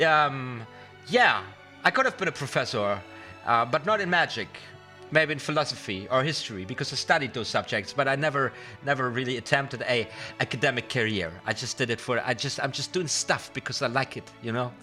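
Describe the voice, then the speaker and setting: A man's voice: mid-range voice, expressive, a little fast, sharp tone. A man hosting a casual card magic livestream, talking directly to his viewers in a rambling way and repeating words for emphasis. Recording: music behind the voice. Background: music.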